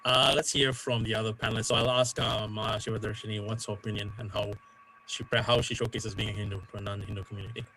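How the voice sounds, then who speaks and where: Low, flat-voiced male moderator. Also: fast talker, gravelly, a little hiss or hum. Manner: polite, hesitant. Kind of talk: moderating a panel.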